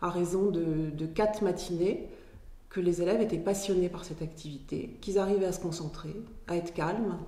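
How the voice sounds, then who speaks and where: A woman on a school's staff explaining their project in a measured interview. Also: fairly low pitch, fairly even intonation, dark tone, roomy sound. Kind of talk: interview narration.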